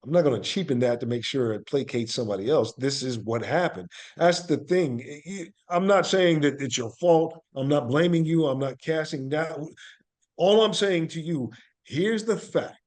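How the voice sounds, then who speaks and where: A man with a somewhat higher-pitched voice speaks as an interview guest. He tells stories in a conversational way and makes his points in deliberate, emphatic short statements. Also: expressive, a little mellow. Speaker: podcast guest, actor and playwright.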